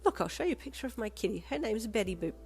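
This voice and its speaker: A woman voicing a motherly, doting, bubbly woman with a gentle, cooing manner in a story. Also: on the high side, expressive, rapid-fire, vocal fry, voice pushed up. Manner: cooing, gentle, full of endearments.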